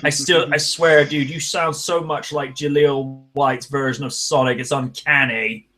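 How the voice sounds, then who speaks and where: A man's voice: medium pitch, level tone, a little slow, pushed and bright. A man hosting a casual online wrestling panel, chatty and laughing, with scolding asides to his co-hosts. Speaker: panel host.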